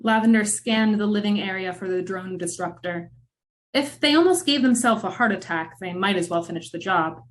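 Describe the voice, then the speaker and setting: A woman narrating a scripted film-noir radio drama in measured, dramatic storytelling with wry asides. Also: mid-range voice.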